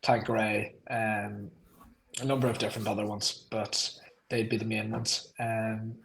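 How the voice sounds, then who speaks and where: A man's voice: lowish voice, little pitch movement, measured pace, vocal fry, fairly bright tone, poor audio. A young man with a Northern Irish accent, a podcast guest talking in an easygoing, rambling way with frequent ums. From Northern Ireland. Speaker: guest, club marketing staff. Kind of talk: casual podcast interview.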